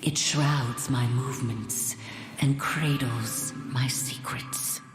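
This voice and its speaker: A woman playing a solemn, wise and secretive moon goddess in a fantasy game, speaking in a measured, oracular way. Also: rumbling low, steady tone. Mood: mysterious, wise. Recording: echoing hall reverb over music.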